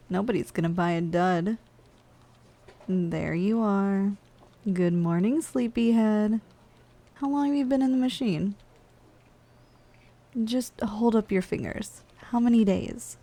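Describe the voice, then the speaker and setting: A woman's voice: mid-range voice, darkish tone. A woman playing a weary, snack-craving giantess office worker in a chatty, teasing, conversational ASMR roleplay monologue. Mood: curt, teasing, then gentle, curious.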